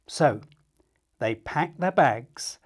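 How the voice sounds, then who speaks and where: A man reading a children's story aloud in an expressive, dramatic and deliberate way. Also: medium pitch, slightly rough. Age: 50s. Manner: animated, dramatic, deliberate, addressing young listeners.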